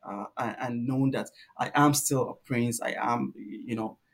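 A man's voice: medium pitch, steady tone, measured pace. A young man from Nigeria, a guest in a casual interview, telling stories at length with many ums and an easy laugh. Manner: warm storyteller, laughs easily, many fillers.

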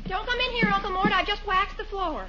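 An actress playing a bossy young niece in a 1940s radio comedy. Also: female voice, extremely high pitch, a little fast, bright, forceful tone. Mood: brisk. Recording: muffled 1940s radio recording, faint noise.

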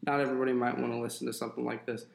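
Gravelly man in an echoey room. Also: mid-range voice, very fast. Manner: relaxed, rambling with fillers. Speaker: podcast co-host. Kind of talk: casual podcast chat.

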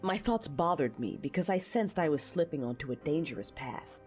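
A woman's voice: low-pitched, expressive, normal speed, a little mellow, soft background music. A woman acting as an intense, passionate Jedi knight, narrating her own story in a confessional, introspective audio drama style. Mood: brooding. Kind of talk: first-person audio drama narration with dialogue.

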